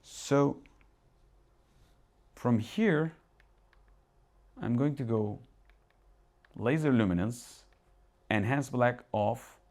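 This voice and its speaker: A man from Turkey with a Turkish accent, a tech reviewer talking casually through settings as he changes them, thinking aloud with restarts and asides in a dark home cinema room. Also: medium pitch, extremely slow, long pauses. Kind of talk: casual live product demo.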